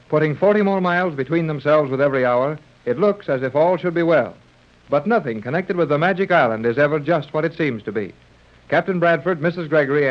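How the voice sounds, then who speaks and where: A man announcing and narrating a 1930s radio serial from a script. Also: a little high. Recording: muffled vintage radio recording.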